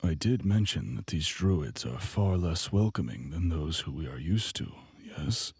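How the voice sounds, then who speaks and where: A wary, patient wizard tutor, played by a man, with a deep, husky voice. He speaks formally and with dry restraint, as in a fantasy audio drama. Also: lively intonation, measured pace, a little mellow, dry recording, heavily acted. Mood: apprehensive.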